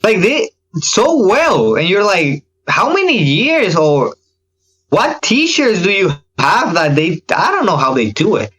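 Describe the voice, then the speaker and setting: Animated man with a higher-pitched voice. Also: unhurried, breathy edge, low-quality recording. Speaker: podcast guest, K-pop reaction video creator. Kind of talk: casual podcast chat.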